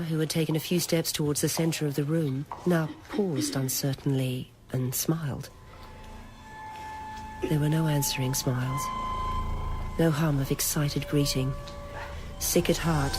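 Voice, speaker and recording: A woman's voice: low voice, noisy background. A woman reading a dramatic scripted narration of a novel.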